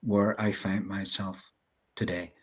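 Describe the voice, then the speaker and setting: A man's voice: low-pitched, flat delivery, measured pace, breathy edge. A man with a Belfast accent, a life coach guest explaining his ideas earnestly, with many fillers. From Northern Ireland. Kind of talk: interview chat.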